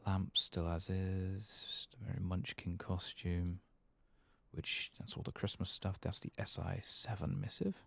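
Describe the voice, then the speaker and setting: A man doing a soft-spoken ASMR game playthrough, murmuring musing asides and sometimes dropping toward a whisper. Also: low voice, level tone, a little slow, gravelly, dry recording. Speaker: gaming ASMR host.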